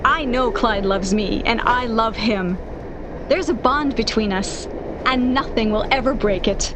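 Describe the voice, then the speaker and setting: Woman acting high-voiced, lovestruck radio drama heroine. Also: unhurried, background noise, close to an everyday voice. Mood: dreamy, confident. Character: lovestruck, stubbornly hopeful young single woman, brittle, anxious.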